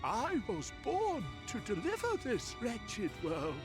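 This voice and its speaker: A man's voice: very high-pitched, huge pitch swings, slow pace, exaggerated voice. A man playing a grandiose, gluttonous, deluded king in a video game, pompous and theatrical, swinging between murmurs and screamed rants. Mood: imperious, grandiose. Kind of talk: dramatic video game voice acting. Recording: game music behind.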